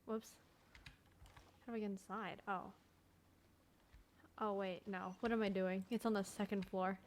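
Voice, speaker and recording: A woman's voice: mid-range voice, flat delivery, measured pace, slight background noise. A woman reacting casually as she plays a video game, in short, self-deprecating exclamations. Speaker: gamer reacting to gameplay. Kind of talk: casual live gameplay reaction.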